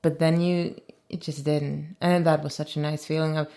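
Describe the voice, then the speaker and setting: A woman with a Swedish accent, an actress chatting on a commentary track, quick to agree and often laughing. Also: sits low, little pitch movement, warm tone. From Sweden. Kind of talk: casual commentary chat.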